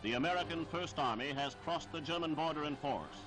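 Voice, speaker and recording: A man reading scripted 1940s newsreel narration in a formal, declamatory, measured delivery. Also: on the high side. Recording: 1940s archival newsreel soundtrack with music.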